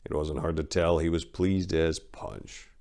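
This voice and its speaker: Low-pitched man narrating crime fiction. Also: level tone, a little slow, creaky, darkish tone. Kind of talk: dramatic reading of a crime story.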